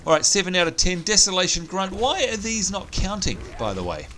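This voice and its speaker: A man's voice: high-pitched, very animated, a little fast, a bit bright, a little hiss or hum. Strained-voiced man doing game commentary. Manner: chatty, upbeat, thinking aloud. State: strained, nearly lost voice. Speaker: let's play host. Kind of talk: gameplay commentary. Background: game sounds and music.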